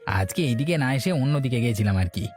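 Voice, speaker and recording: An actor voicing a meek, hard-up peddler husband in a cartoon, placating and eager to please. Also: male voice, mid-range voice, animated, fast, darkish tone, faint music behind, natural voice. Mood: resigned. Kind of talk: Bengali cartoon voice acting.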